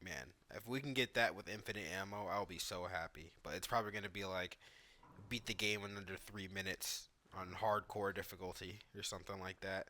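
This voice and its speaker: A man with a slightly low but bright, crisp-edged voice hosts a gameplay video. His commentary is casual and informal, as if thinking out loud while he plays. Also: steady tone, brisk, slight background noise. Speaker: gaming video host.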